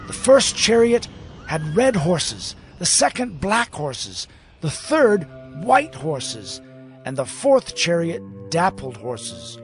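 Audio Bible prophet: high voice, very animated, earnest and declaiming, recounting visions in awe. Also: male voice, full character voice.

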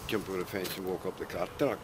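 An older man with a Scottish accent, a local farmer chatting casually outdoors, plain-spoken and trailing off quietly. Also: low voice, steady tone, fast. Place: outdoors on a farm road at dusk. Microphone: handheld camera mic. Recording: outdoor camcorder audio with background noise.

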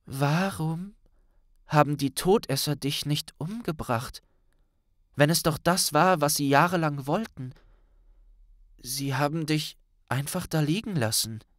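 Man as teenage witch, raised pitch, earnest, worried, urgent, storybook dialogue. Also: unhurried, long pauses, a bit bright, no echo, studio quality. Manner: serious, stern, urgent. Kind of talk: audiobook character dialogue.

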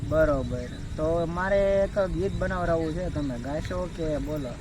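A high-voiced man with a Saurashtra Gujarati accent makes a prank phone call. He is teasing and insistent, questioning in everyday talk. Clear insect and vehicle noise surrounds him outdoors. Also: a little slow. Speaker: prank caller. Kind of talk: casual phone conversation. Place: outdoors beside a road.

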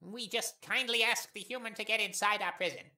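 A woman playing a boisterous, naive, self-assured skeleton in a fan audio drama, with loud theatrical declarations. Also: medium pitch, fairly quick. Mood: insistent, cheerful.

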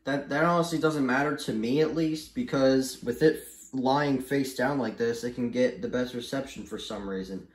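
A man's voice: mid-range voice, normal speed, hardly any pauses. A young American man talking casually and off the cuff, rambling hesitantly and repeating himself as he shows things to the camera. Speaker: hobbyist video maker. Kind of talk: casual unscripted show-and-tell. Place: small indoor room at home. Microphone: phone camera held by speaker. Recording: echoey room, handheld camera.

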